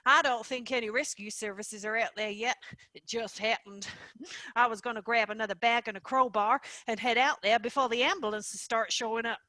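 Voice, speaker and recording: A woman's voice: on the high side, fairly even intonation, fairly quick, sharp tone, dry recording. A woman playing a cheerfully gruesome female tooth fairy in a stage play. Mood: offhand.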